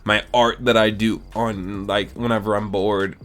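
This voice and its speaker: A man's voice: on the low side, flat delivery, a little slow, a bit bright. A man in his twenties casually answering viewer questions about a mobile game, rambling and slangy. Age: 20s. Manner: laid-back, rambling, slangy. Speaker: gaming YouTuber. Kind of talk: casual Q&A chat to camera.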